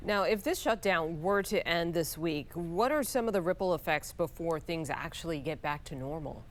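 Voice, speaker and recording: A woman with an American accent interviewing as a TV news anchor, in a polished, measured broadcast delivery. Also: on the low side, expressive, slight background noise.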